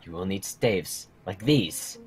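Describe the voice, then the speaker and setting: A man playing a solemn dragon mentor in human form with a measured, portentous manner, in a gaming roleplay. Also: low voice, animated, faint music behind. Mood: solemn, instructive. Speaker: mentor training young dragon riders. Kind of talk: fan roleplay acting in a gaming video.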